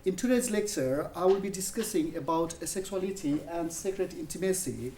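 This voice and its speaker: A man's voice: high-pitched, animated, dark tone, slight background noise. Nepali-accented man lecturing in an echoey room. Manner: measured, with frequent fillers and restarts. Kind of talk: academic public lecture. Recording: echoey room, mic at a distance.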